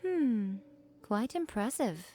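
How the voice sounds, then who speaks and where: A woman's voice: fairly high pitch, sing-song, takes it slowly, over music. A woman playing an elegant, teasing fox-spirit shrine maiden in a video game, poised and amused. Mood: coy, amused.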